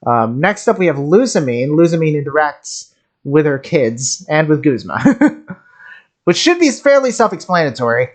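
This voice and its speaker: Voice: medium pitch, sing-song, unhurried. Casual, rambling streamer commentating on a game.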